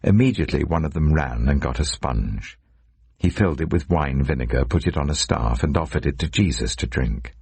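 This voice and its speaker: Male narrator for dramatic scripture audiobook: extremely low, husky voice with animated pitch movement. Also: brisk, no echo.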